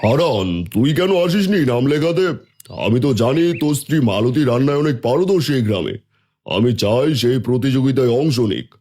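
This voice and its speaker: A man's voice: medium pitch, lively intonation, fairly quick, darkish tone. A man playing a kindly, authoritative village headman in a cartoon fairy tale. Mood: encouraging.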